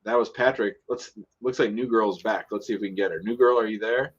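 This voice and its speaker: A man's voice: on the low side, fairly even intonation, brisk, slightly rough. A man hosting a live immigration call-in show, talking bluntly and casually while he thinks aloud. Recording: dull lo-fi stream audio.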